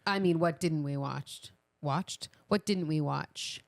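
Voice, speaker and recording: A woman's voice: deep voice, takes it slowly, gravelly, no echo. A woman with an American accent chatting and joking casually as a podcast co-host. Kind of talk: casual chat.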